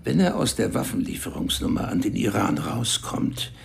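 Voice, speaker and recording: A gruff cynical police chief. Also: male voice, on the low side, sing-song, normal speed, a little hiss or hum, full character voice. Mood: grim. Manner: blunt, cursing, gets heated. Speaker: police chief in a radio crime drama. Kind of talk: radio drama acting.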